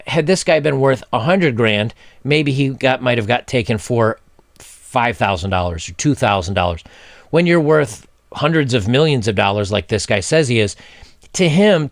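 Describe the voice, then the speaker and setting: A man's voice: medium pitch, gravelly, no echo. A man in his forties hosting a commentary video, reading a news article aloud and breaking off to give his blunt opinions. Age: 40s. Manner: blunt, opinionated, animated, with hand gestures. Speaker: commentary channel host. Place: indoor home studio, seated in gaming chair. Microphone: desk microphone in front.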